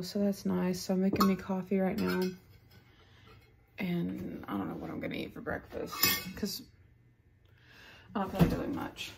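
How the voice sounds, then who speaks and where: A young adult woman chatting casually to her phone camera in a home kitchen, sounding tired, unhurried and rambling. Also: mid-range voice, gravelly, noisy background. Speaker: vlogger. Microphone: phone front camera at arm's length.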